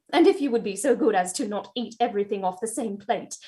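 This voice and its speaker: A woman's voice: mid-range voice, fairly quick, warm tone, natural voice. A woman playing a prim, respectful but firm housekeeper, deferential and insistent, in a staged play reading.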